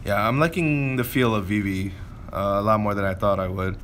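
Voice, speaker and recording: A man's voice: lowish voice, fairly quick, a bit bright, a little hiss or hum. A man giving casual gameplay commentary, informally thinking aloud as he plays. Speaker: gameplay video creator.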